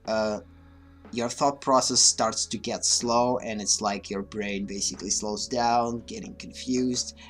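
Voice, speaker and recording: A man whose first language is Russian, speaking English with a mixed, slightly Russian accent in a casual, hesitant livestream monologue full of fillers and restarts. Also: lowish voice. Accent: mixed Russian-influenced. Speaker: livestream host.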